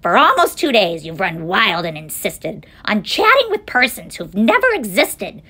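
A woman's voice: on the high side, very animated, normal speed, a bit bright, husky, voice pushed up, heavily acted. A woman voicing a bossy, scornful female kangaroo, a storybook villain with a snappish, haughty manner. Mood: scornful, indignant.

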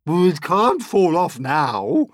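A loud, blustering fat aunt from a storybook, pitched up high. She is excitable and exclaims in big, swooping, booming bursts. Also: extremely slow, no echo, studio quality, exaggerated voice. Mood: insistent. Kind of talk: audiobook character voice.

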